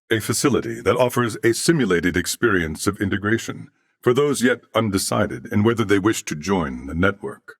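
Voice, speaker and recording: Thoughtful machine-merged humanoid, low voice, expressive intonation, formal and courteous, earnest, video game character. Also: male voice, dry recording, studio quality. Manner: formal, measured, earnest diction.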